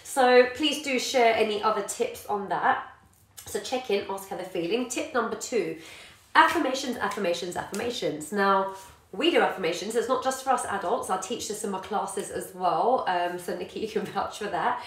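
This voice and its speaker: A woman's voice: mid-range voice, lively intonation, moderate pace, slight background noise. A woman, a yoga teacher and parent chatting casually to live viewers with many ums. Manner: warm encouraging, many ums, greets viewers by name. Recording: echoey room.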